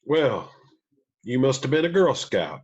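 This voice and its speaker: A man playing a gentle, weary country musician father in a table read, with folksy, halting phrasing. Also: mid-range voice, very animated, husky, highs cut off. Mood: wry, guarded. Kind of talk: screenplay table read acting.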